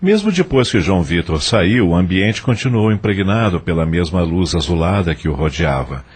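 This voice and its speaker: Male narrator for audio drama, deep voice, animated storytelling intonation. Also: normal speed, slightly rough.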